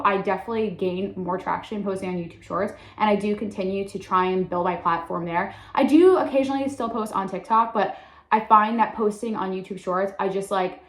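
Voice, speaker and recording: A woman's voice: medium pitch, reverberant. Chatty young New woman vlogging. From Long Island, New York. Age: 20s. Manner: chatty, heavy use of "like", candid. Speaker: content creator. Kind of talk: casual talking-to-camera introduction. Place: room in her sister's house.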